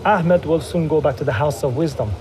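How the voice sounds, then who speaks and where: An actor playing a gentle, thoughtful scholar who welcomes a guest kindly. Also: male voice, fairly high pitch, a little fast, over music, close to an everyday voice, low-quality recording. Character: gentle male scholar and hidden brother.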